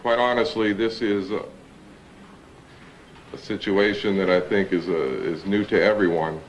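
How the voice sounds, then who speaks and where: A man speaks as chair of a meeting in a low voice, formal and halting. The recording is dull, with faint noise. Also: fairly even intonation. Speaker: election board chair.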